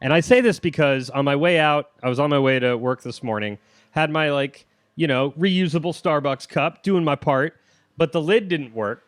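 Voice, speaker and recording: A man's voice: fairly high pitch, expressive, moderate pace, no echo. A man hosting a casual interview, talking in a rambling, self-deprecating, joking way. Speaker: podcast host interviewing a comedian.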